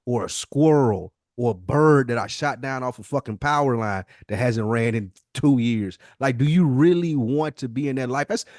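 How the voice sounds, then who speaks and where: A man's voice: medium pitch, moderate pace, hardly any pauses, a little mellow, dry recording. Blunt American man in a dry room. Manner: blunt, emphatic, slangy and profane. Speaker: podcast co-host. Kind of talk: casual podcast chat.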